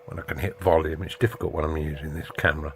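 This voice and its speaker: A man's voice: very deep voice, fairly even intonation, fast, slight background noise. A middle-aged man with a British accent explaining casually in a rambling, wry way, often asking rhetorical questions. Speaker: video host, radio hobbyist.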